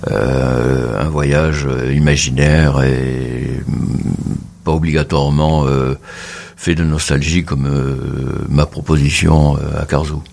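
A man from around the Mediterranean, a photographer giving a radio interview, speaking haltingly with many pauses and fillers. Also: very deep voice, little pitch movement, gravelly, darkish tone. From Mediterranean, between Tunisia and Marseille. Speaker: photographer, guest.

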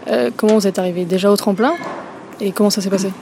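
Woman interviewer, mellow dark tone, brisk questions, off-mic, faint noise. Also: mid-range voice, fairly even intonation.

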